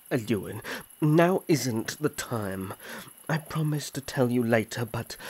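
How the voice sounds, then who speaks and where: A man voices a young elf prince, protective and quick to indignation. His voice is husky and slow-paced, with formal, earnest phrasing suited to a fantasy audio drama. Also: medium pitch, darkish tone.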